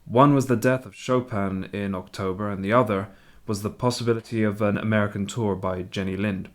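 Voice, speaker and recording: Guy acting polite old-time newspaper correspondent in a radio drama, fairly low voice, measured and courteous. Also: fairly even intonation, close to an everyday voice. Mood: matter-of-fact. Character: polite American newspaper correspondent in 1849 Paris. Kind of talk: radio play narration.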